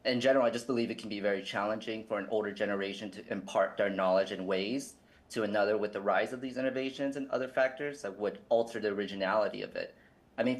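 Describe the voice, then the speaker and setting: A man from the United States interviewing filmmakers, asking long, earnest questions with many fillers. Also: fairly low pitch.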